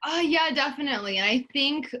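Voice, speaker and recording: A woman's voice: high-pitched, animated, a little fast, sharp tone. A woman from Canada, a guest in an interview, talking in an easygoing, agreeable way with many "um"s and "yeah"s. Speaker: interview guest, festival founder. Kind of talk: casual interview chat.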